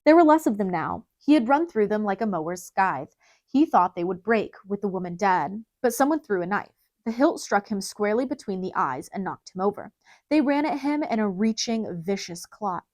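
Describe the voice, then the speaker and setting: A woman narrates an audiobook in a dramatic, scripted storytelling style. Her voice sits at a middle pitch for a woman, and the recording is close and dry, with very little room sound. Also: no room sound.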